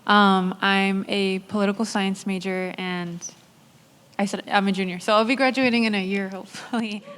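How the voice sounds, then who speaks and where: A woman's voice: mid-range voice, fairly even intonation, moderate pace, fairly bright tone, clean tone. A young woman college junior in her twenties introducing herself on a panel in a casual, slightly nervous way. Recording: hall reverb.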